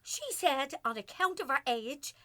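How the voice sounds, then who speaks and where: An actress playing an anxious older Irish wife, a constant worrier, in a radio play. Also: female voice, sits high, lively intonation, unhurried, pushed and bright, dry recording, heavily acted. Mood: frightened, pleading.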